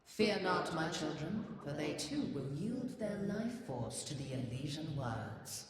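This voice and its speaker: An actress playing a regal, menacing nature huntress in a video game, speaking solemnly and grandly with contempt for humanity. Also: female voice, low-pitched, very animated, unhurried, a crowd in the background. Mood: ominous reassurance. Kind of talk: video game villain monologue. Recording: long echoing reverb, distant, crowded background din.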